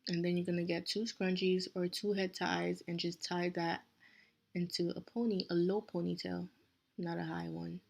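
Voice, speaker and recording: A young woman with a Nigerian accent talks through a hair tutorial in an easy, chatty way, stopping now and then to correct herself. Her voice sits fairly low and stays level, with little rise and fall. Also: moderate pace, gravelly. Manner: relaxed, self-correcting, chatty asides. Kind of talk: casual step-by-step tutorial.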